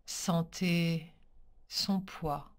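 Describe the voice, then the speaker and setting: A woman teaching yoga nidra, leading a guided relaxation in a calm, soothing, measured manner with pauses between phrases. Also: on the low side.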